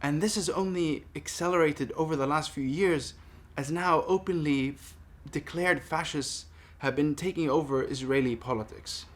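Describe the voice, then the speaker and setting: A man in his thirties from Palestine speaking in a personal monologue, earnest, deliberate and emphatic. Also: a little high. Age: 30s. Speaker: vlogger making a response video. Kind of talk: personal monologue addressed to camera.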